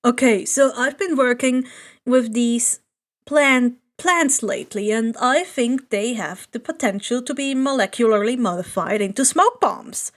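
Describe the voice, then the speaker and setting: A woman's voice: on the high side, unhurried, lightly acted. A woman voicing a friendly teenage boy in a story, earnest and lightly playful. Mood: hushed, earnest.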